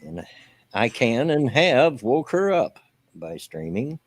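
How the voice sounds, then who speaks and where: A man's voice: mid-range voice, very animated, slow pace. A man with an American accent, co-hosting a gaming stream in casual, folksy, plain-spoken banter, close to the microphone. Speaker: co-host of a gaming radio stream. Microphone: close microphone at a home setup.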